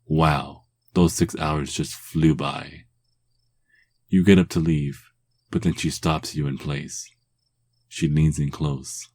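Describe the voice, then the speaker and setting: A man narrating a second-person romance story in a calm ASMR style, drifting at times into hushed whispers. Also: extremely low pitch, slow, husky.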